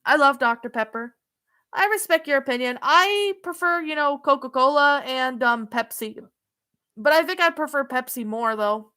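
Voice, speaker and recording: High bright Canadian woman streamer. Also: expressive, moderate pace. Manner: hesitant, many ums and restarts. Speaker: streamer reading chat. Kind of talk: casual live stream chat.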